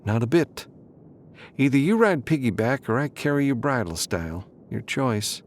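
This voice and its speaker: A man's voice: mid-range voice, animated, moderate pace, a little hiss or hum, voice pushed up, close to an everyday voice. A man voicing a confident, teasing woman freelancer in a space western audiobook, speaking in a playful, assertive way. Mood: insistent.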